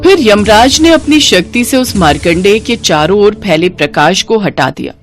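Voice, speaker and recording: A woman's voice: mid-range voice, lively intonation, moderate pace, faint music behind. A woman narrating a devotional story in a scripted storytelling style.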